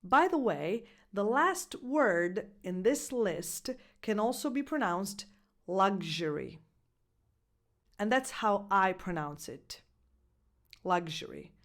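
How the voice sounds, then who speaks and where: A woman's voice: medium pitch, big pitch swings. Italian woman coaching English pronunciation. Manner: clear, deliberate, slows down to spell out words. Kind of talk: scripted video lesson.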